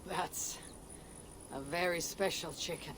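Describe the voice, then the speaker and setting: A composed Viking warrior hero from a video game, played by an actor, speaking slowly and steadily in a mid-range voice. Loud crickets and insects fill the outdoor ambience behind. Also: mid-range voice, fairly even intonation, noisy background, lightly acted. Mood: calm.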